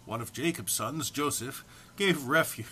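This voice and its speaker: A man's voice: mid-range voice, unhurried, sharp tone, a little hiss or hum. A man informally introducing and reading comic text aloud in a mock-solemn manner, struggling to keep from laughing. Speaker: amateur reader recording for an online post.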